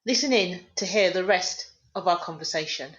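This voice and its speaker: A woman hosting a podcast, reading a scripted introduction and then interviewing a guest in a measured, clear way. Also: fairly low pitch, muffled recording, soft background music. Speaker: podcast host.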